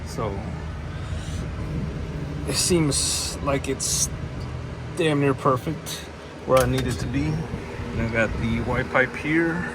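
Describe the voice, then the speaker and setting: A man's voice: mid-range voice, slow, long pauses, heavy background noise. A man giving a casual, unscripted DIY build walkthrough in a laid-back, plain-spoken, sweary way. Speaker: host of a DIY car build channel. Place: garage workshop.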